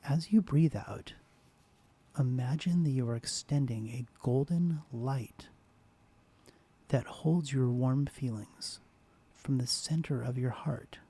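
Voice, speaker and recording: A man hosting a soft-spoken ASMR guided meditation, speaking slowly and gently, often dropping into a whisper. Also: mid-range voice, breathy edge. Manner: slow, soft, partly whispered. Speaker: ASMR host.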